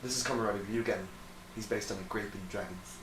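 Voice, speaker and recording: A man's voice: lowish voice, fairly even intonation, fast. A man chatting casually with friends as host, giving sweary, opinionated takes. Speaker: host of a friends' tier-list discussion. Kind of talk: casual chat. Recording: roomy echo, clearly noisy background.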